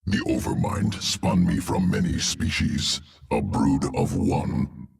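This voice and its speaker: An actor playing an ancient alien creature-shaper who speaks in clipped, broken phrases with a deep gravelly voice. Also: male voice, sing-song, takes it slowly, a little mellow, heavily acted. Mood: cold, flat. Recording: echoey and distant over music.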